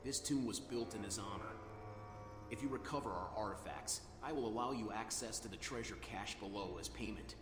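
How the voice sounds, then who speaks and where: A man voicing a solemn, logical machine-being guarding a tomb in a video game, speaking formally and gravely. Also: fairly low pitch, fairly quick. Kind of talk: video game quest dialogue. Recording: long hall reverb, music behind. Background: game music.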